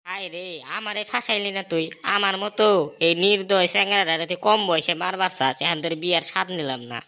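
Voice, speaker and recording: A woman voicing an excitable, grumbling schoolboy sidekick in a comedy cartoon, with a rural Bangladeshi Bengali accent. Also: fairly low pitch, quick pace, creaky. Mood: dismayed.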